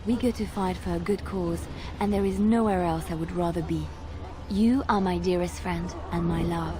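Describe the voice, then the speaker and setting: Woman acting a low-voiced, devoted heroine. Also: steady tone, normal speed, close to an everyday voice. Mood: earnest, resolute. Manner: earnest, poetic, solemn. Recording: clear background ambience noise.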